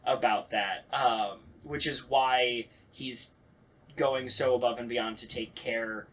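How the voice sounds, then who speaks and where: A man's voice: medium pitch, steady tone, slow, a bit bright, a little hiss or hum. A man chatting casually and candidly as a voice actor, often breaking off and restarting his thoughts. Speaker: voice actor on a talkback show. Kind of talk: casual chat.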